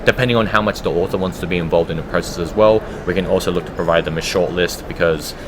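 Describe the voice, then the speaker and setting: Low-voiced man explaining in an interview. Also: fast talker, background noise. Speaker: interview guest, audiobook producer. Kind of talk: conversational interview answers, explanatory.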